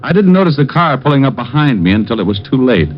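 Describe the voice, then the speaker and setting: An actor playing a hard-boiled, skeptical private eye with a wry, slangy delivery in an old radio noir drama. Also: male voice, mid-range voice, sing-song, fast, faint music behind, natural voice. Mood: narrating, hard-boiled. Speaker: private detective lead and narrator. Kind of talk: 1940s radio noir acting and narration. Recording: dull vintage radio recording.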